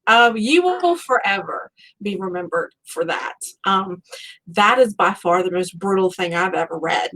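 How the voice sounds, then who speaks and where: A woman's voice: medium pitch, animated, unhurried, a bit bright, rough recording. Chatty woman hosting a book show. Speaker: host of a book-fan live show. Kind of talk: casual chatty author interview.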